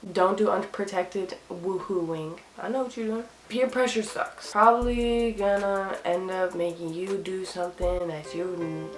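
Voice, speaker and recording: A woman's voice: medium pitch, a bit bright, roomy sound, low-quality recording. A young woman vlogger giving casual, sassy advice about school, her voice tired and a little cracked. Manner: chatty, sassy, upbeat, lots of "like". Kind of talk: casual talk to camera.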